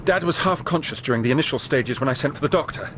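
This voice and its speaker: An actor in a radio drama playing a distraught young English gentleman, a grieving son. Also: a little high, animated, fast talker, slight background noise. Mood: shaken, urgent.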